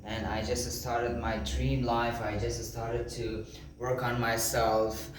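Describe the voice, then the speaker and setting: A man who speaks English as a second language gives an informal motivational talk in an earnest, halting, repetitive way. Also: mid-range voice, little pitch movement. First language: not English (second language). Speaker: motivational content creator. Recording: echoey room with faint background noise.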